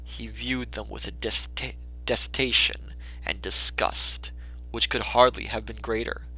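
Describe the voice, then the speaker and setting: Male narrator for classic fiction: dramatic scripted read, forceful bright tone, some fry. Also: lowish voice, steady tone, unhurried, rough recording. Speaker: storyteller, narrator.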